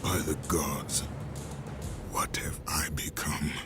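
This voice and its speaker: Fierce Spartan captain, rough gravelly voice, slow heavy delivery, dramatic game acting, music behind. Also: male voice, extremely low pitch, level tone, whispered. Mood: grim, stunned. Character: fierce anguished Spartan warrior captain, male.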